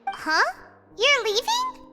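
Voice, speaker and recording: A woman voicing a small, childlike, excitable fairy companion in a video game, speaking in a perky, naive way. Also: very high voice, drawn-out words, exaggerated voice. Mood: surprised. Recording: reverberant hall, music underneath.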